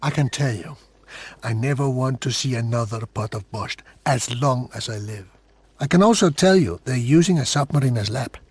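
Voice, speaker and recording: Husky, wry male undercover informant acted out. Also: mid-range voice, normal speed, a little mellow, no echo, close to an everyday voice. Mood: wry, matter-of-fact. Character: wry, world-weary male undercover informant at military base. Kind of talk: video game voice acting.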